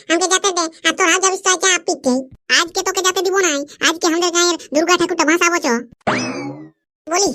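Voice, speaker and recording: A performer with a pitched-up chipmunk voice playing an excitable, cheeky village cartoon fellow with a Purulia accent, bantering bluntly in dialect. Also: slight background noise. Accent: Purulia, West Bengal. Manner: blunt, teasing, rapid back-and-forth.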